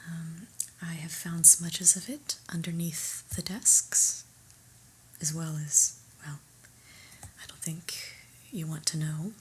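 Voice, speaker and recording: A woman's voice: lowish voice, a little hiss or hum. Whispering, unimpressed office boss played by a woman. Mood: dry disapproval. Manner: deadpan, hesitant, politely condescending. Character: exasperated, prim new female office boss, dryly disapproving. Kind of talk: whispered ASMR roleplay.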